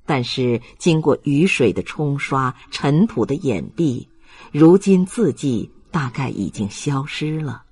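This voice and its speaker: Male audiobook narrator, mid-pitched and slightly husky, with solemn, very varied intonation. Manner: solemn, measured, expressive. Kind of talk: scripted literary narration.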